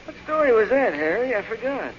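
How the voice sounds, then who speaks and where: High-pitched, boastful drunken sidekick. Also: male voice, very animated, fairly quick. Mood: tipsy, befuddled. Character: boastful, slow-witted, hard-drinking fishing-boat sidekick. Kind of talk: 1940s radio acting. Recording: dull, noisy 1940s radio recording.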